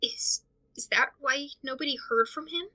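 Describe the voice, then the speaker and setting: A woman acting in an audio drama as an anxious, overwhelmed amateur investigator, talking through worries alone in a halting, stammering, rambling way. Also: sits high, sharp tone, poor audio. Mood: anxious, reasoning. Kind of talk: audio drama monologue, recorded diary.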